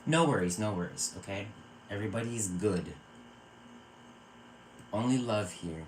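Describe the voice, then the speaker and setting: A man from Virginia hosting a spiritual live stream, talking earnestly and calming his viewers with a repeated "okay". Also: fairly low pitch, moderate pace, a little hiss or hum. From Virginia, USA. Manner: earnest, repeats words and restarts phrases. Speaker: psychic circle host. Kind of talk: live-stream spiritual talk answering chat.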